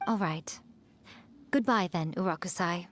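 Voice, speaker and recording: A woman's voice: on the low side, measured pace, slight background noise, natural voice. An actress playing a sly, playful, self-assured centuries-old yokai woman in a video game, teasing and poised. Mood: composed. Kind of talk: video game cutscene acting.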